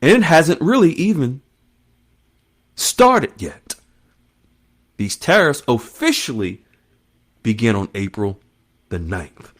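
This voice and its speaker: A man's voice: mid-range voice, very animated, slow, pauses often. A man hosting an online finance news monologue, speaking in short emphatic phrases and repeating key terms as he explains them to followers. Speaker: host of an online currency news channel.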